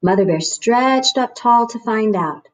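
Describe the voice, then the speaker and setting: Breathy woman reading to children. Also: a little high, animated, unhurried. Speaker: read-aloud host, storyteller. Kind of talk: expressive picture-book narration for children. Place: small room at home, on a couch.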